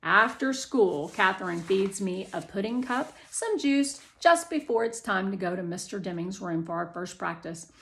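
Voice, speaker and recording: Woman, children's story narrator, first-person read-aloud, expressive delivery. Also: mid-range voice, normal speed, vocal fry, hardly any pauses, a little hiss or hum.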